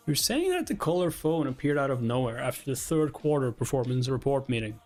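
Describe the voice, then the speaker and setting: A man plays a cold male executive from a webtoon, severe and accusing. He delivers his demands curtly, in a mid-pitched voice, with light music behind. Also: sing-song, brisk, lightly acted. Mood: demanding.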